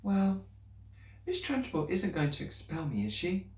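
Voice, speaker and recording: A man reading aloud and voicing a small, bright, earnest girl who asks eager, pleading questions. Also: sits high, wildly swooping pitch, unhurried, voice pushed up, full character voice. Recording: roomy, distant mic.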